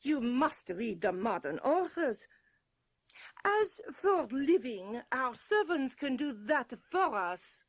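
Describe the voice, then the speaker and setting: An actress playing a chatty, snobbish French governess with a French accent, gushing and fussy. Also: female voice, high-pitched, sing-song, slow pace, dry recording, clean studio sound, full character voice. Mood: haughty. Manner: gushing, drops French phrases. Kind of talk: gothic radio drama acting.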